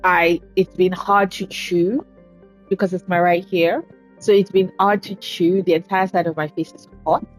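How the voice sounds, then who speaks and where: A woman casually commentating her own game playthrough, chatty and self-deprecating, speaking low and soft because talking hurts right now. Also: steady tone, moderate pace, faint music behind, rough recording. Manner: chatty, self-deprecating, swears at setbacks in play. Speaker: gaming channel host. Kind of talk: casual let's-play commentary.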